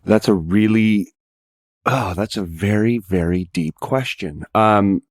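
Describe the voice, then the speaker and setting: Male guest, lowish voice, modest and hesitant, thinking aloud in relaxed conversational podcast style. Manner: hesitant, self-effacing, halting. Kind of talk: casual podcast chat.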